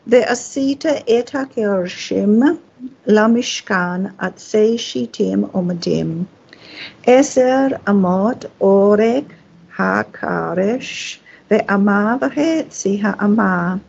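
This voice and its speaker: A woman reading scripture aloud as a Torah reader, phrase by phrase in a careful, measured way. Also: medium pitch, creaky, a little mellow, husky. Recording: dull, lo-fi sound.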